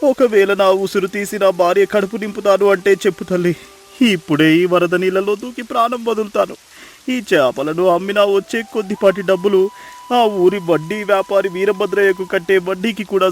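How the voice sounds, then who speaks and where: Anguished poor fisherman, acted by a man, high-pitched. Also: slight background noise. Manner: anguished, lamenting. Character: poor, despairing fisherman husband, pleading with heaven. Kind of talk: dramatised moral story acting.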